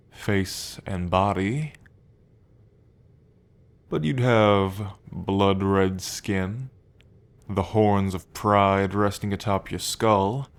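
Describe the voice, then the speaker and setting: A man playing a smug, teasing demon prince of pride in an ASMR roleplay, drawling and playfully taunting the listener. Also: lowish voice. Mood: menacing, seductive.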